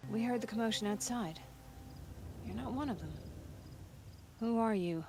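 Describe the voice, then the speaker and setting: An actress playing a weary wife and mother freed from captivity in a video game, speaking in a subdued, wistful, halting way. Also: fairly high pitch, noisy background, lightly acted. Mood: wary hope.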